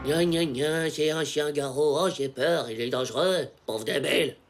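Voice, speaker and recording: Brash ten-year-old cartoon boy, played by a man: high, slangy, cocky, over background music. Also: moderate pace, lightly acted. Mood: mocking, sulky. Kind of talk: French cartoon voice acting.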